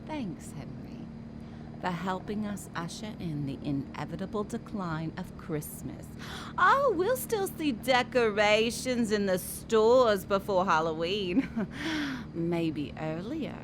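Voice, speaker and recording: A woman's voice: mid-range voice, big pitch swings, slow pace, noisy background. A woman playing a sly, gloating villainess in an audio drama, taunting sardonically. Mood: mocking. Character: sly, gloating villainess scheming to end Christmas.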